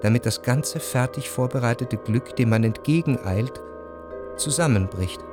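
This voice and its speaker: An actor playing a jealous, brooding gentleman narrator in a literary radio drama, introspective and measured. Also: mid-range voice, animated, over music, close to an everyday voice. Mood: brooding, anxious. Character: jealous, brooding gentleman recalling suspicions in love.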